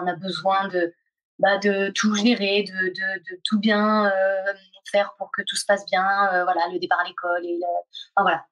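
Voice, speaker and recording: A woman with a mid-range voice reflects slowly in her answer, pausing and filling gaps with hesitations as she searches for words. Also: mid-range voice, rough recording. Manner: reflective, halting, many fillers. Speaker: guest, a reader of the book. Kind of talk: casual interview answer.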